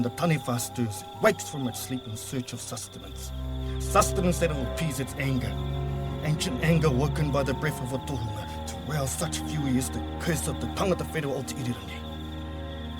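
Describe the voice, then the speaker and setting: A man's voice: medium pitch, natural voice. An actor playing a grave, stubborn man warning of curses in an audio drama. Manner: terse, solemn, incantatory storytelling. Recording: music under the voice.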